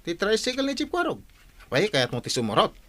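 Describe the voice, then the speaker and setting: A man's voice: high voice, wildly swooping pitch, brisk, sharp tone, full character voice. An actor playing a sociable, easygoing Filipino village man with a northern accent, talking colloquially in a comedic radio drama. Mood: casual, teasing.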